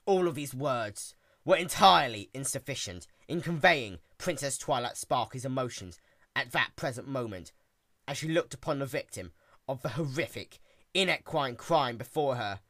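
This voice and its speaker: A man's voice: medium pitch, lively intonation, normal speed, a bit bright, no echo, studio quality. A man giving a dramatic story reading with a theatrical, wry and emphatic delivery. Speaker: story narrator.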